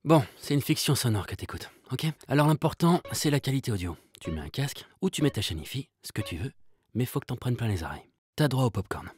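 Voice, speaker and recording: An actor playing a cheeky, bossy announcer in a slangy audio fiction intro, addressing the listener familiarly. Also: male voice, mid-range voice, sing-song, quick pace, noisy background, lightly acted. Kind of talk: promotional intro.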